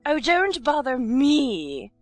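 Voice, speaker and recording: A hoarse, growling Duchess, bad-tempered and brusque. She drags her words out slowly in a high-set voice that lurches up and down, snapping rudely as she goes. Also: big pitch swings, soft background music, heavily acted. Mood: irritable. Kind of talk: dramatized storybook audiobook.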